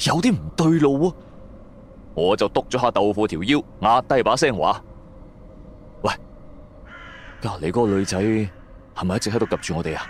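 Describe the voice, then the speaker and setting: A man with a Cantonese accent narrating a story in an expressive, colloquial, dramatic scripted style. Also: medium pitch, slight background noise.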